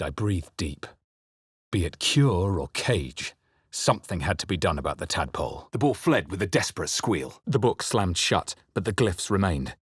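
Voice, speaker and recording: A man playing a wary, resolute fantasy adventurer in video game voice lines, with a measured, theatrical delivery. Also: deep voice, slightly rough, no room sound, studio quality, exaggerated voice. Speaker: player character voice. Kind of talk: scripted video game voice lines, dramatic narration.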